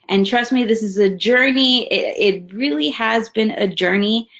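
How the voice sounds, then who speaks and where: A woman's voice: medium pitch, vocal fry. A woman in her thirties from Hawaii giving a friendly, conversational webinar talk as guest presenter, with plenty of fillers. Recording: slightly dull webinar audio.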